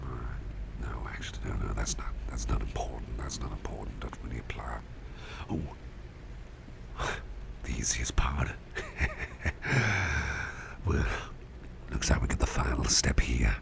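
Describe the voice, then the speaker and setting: A man playing a gentle, doting businessman boss in an ASMR roleplay, speaking in a soft half-whisper with a tender, reassuring manner. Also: rumbling low, steady tone, heavily acted. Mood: muttering, preoccupied. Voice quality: soft, breathy half-whisper with gravelly fry. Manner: tender, reassuring, unhurried, hesitant murmuring. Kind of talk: ASMR roleplay, personal attention. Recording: clear background noise with ambience.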